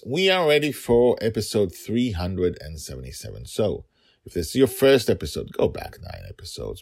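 Male podcast host and writer: very low, creaky voice, informal, emphatic, thinking out loud. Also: no room sound, clean studio sound. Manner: rambling, emphatic, thinking aloud.

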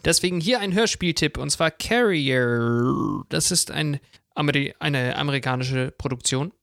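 A man's voice: on the high side, normal speed, creaky, fairly bright tone, no echo, clean studio sound. A man co-hosting a podcast in casual, colloquial conversation. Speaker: podcast co-host. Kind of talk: casual chat.

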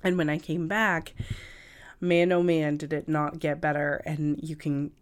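Female podcast host, deep register, unhurried delivery; raspy, hoarse with a cold, conversational and halting. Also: flat delivery. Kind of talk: casual solo podcast talk. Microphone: cough drops in mouth, podcast recording.

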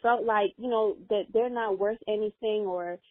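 A woman's voice: mid-range voice, fairly even intonation, moderate pace, no echo. A young woman whose first language is Spanish, an interview guest talking earnestly in a casual, rambling way with many fillers. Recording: dry, tinny phone-like audio.

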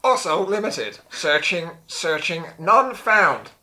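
A man playing a deadpan robot sent from the future to join a podcast. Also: on the high side, slow pace, a little hiss or hum, heavily acted. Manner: flat deadpan delivery.

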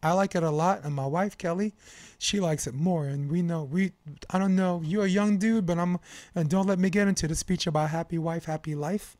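A man's voice: high-pitched, fairly quick, a little mellow, dry recording. An American man in his fifties hosting a podcast in casual chat, with a slangy, joking way of rambling into advice. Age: 50s. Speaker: podcast host.

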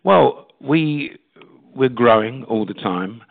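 A man's voice: mid-range voice, expressive, slow, creaky. Businesslike man, phone-like sound. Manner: businesslike, hesitant fillers. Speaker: digital marketing agency head. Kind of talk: interview answers. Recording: tinny phone-like dry sound.

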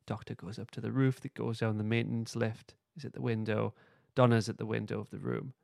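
A man's voice: lowish voice, steady tone, brisk, creaky, darkish tone, no echo, studio quality. A man hosting a podcast, casually recapping and discussing in an informal way. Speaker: podcast host.

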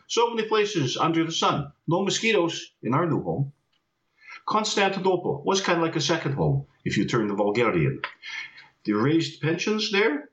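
A man reciting his own poem in a put-on Icelandic accent, measured and slow, over a video call. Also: a little high, a bit bright. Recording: roomy, dull video call audio.

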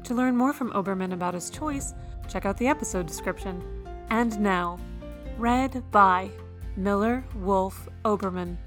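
A woman announcer delivers a scripted podcast introduction. Her voice sits at an ordinary pitch for a woman, with music clearly playing behind it. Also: measured pace. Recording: music under the voice.

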